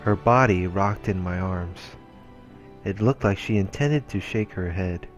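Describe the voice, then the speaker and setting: A man's voice: deep voice, measured pace, muffled recording. A man reading visual novel text aloud as a let's play narrator, in a dramatic storytelling style. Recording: background music behind the voice.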